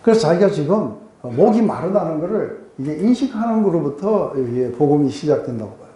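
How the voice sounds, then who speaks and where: A weeknight Bible study in an echoey room, where a soft-edged, very dark-toned man explains a passage and keeps checking that everyone follows. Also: fairly high pitch, very animated, brisk. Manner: explanatory, often checks in with listeners. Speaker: pastor teaching a Bible study group. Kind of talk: conversational expository sermon with rhetorical questions. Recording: roomy echo.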